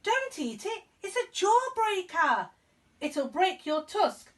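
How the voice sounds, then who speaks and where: A woman voicing a small, squeaky, fussy mouse who is a loyal friend, for a children's storybook. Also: very animated, slow, far from the mic, exaggerated voice. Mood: alarmed.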